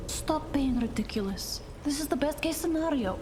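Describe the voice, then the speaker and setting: A woman's voice: sits high, animated, moderate pace. A woman playing a tough, no-nonsense, foul-mouthed partner in a cyberpunk noir audio drama, blunt and exasperated in her delivery. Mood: businesslike, impatient. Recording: long reverb, noisy sound bed.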